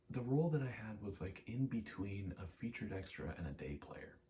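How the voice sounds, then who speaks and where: A man's voice: on the low side, very animated, unhurried, warm tone, slight background noise. A young man giving a casual, meandering ASMR ramble while gaming, often interrupting himself in an understated way. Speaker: ASMR creator.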